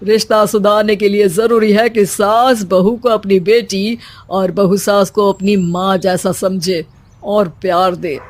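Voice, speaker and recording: A woman voicing a solemn, sagely holy man in a cartoon story, giving measured instructions. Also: mid-range voice, fairly even intonation, a little mellow, dry recording, lightly acted. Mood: preaching.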